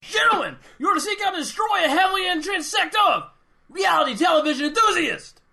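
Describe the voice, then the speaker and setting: A blustering five-star general for a parody radio serial. The voice is pitched high, creaky and piercingly forceful, barking out patriotic orders. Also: male voice, lively intonation, quick pace, heavily acted. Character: tough-as-nails, bombastic, patriotic five-star army general. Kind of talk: parody radio adventure acting.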